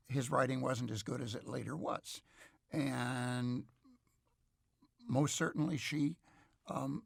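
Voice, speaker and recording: A middle-aged or older man speaking as an expert interview guest, reflective and measured, with halting, scholarly phrasing. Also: mid-range voice, gravelly, husky, no echo.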